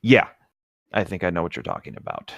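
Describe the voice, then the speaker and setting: Deep-leaning, rambling man in a dry recording. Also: huge pitch swings, brisk, gravelly, no echo. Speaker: co-host of a book read-along show. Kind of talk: casual chat mixed with reading a novel aloud.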